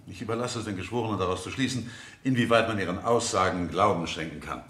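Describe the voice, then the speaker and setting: A relentless courtroom lawyer with a lowish voice. He questions formally and pointedly, full of sardonic insinuation. It has the sound of a vintage radio play in an echoey room. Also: fast talker, fairly bright tone. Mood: probing, sharp. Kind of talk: 1970 German radio play acting.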